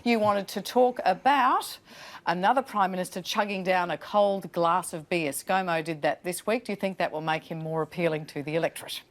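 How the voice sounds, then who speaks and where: A woman's voice: on the low side, normal speed. Woman, TV panel host, probing questions, conversational tone. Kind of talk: live TV panel discussion.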